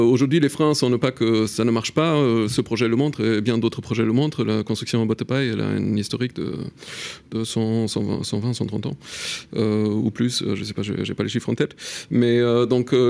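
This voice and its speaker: A man's voice: medium pitch, gravelly, a little mellow. A middle-aged man speaking as a panel guest in a radio studio, thoughtful and wry, with many fillers. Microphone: studio mic on stand.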